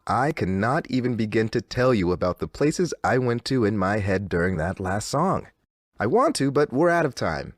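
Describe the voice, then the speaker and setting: An actor playing an unsettlingly upbeat, controlling radio DJ, with theatrical patter and erratic, insistent cheer. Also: male voice, lowish voice, very animated, normal speed, no echo, studio quality. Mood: smooth, wistful.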